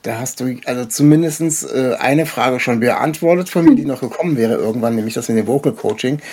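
Husky, mellow man asking questions hesitantly. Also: medium pitch, a little fast. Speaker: podcast host, interviewer. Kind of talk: casual interview chat.

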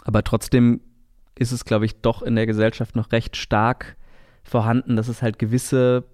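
A man's voice: mid-range voice, level tone, moderate pace, a little mellow, clear, resonant tone, no room sound, studio quality. A man co-hosting a podcast in a conversational style. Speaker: podcast co-host.

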